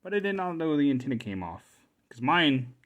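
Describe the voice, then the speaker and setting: A man's voice: a little high, very animated, moderate pace, highs cut off. A man giving casual, unscripted commentary as a hobbyist repairer, thinking aloud to himself as he works. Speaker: hobbyist repairer presenting a video.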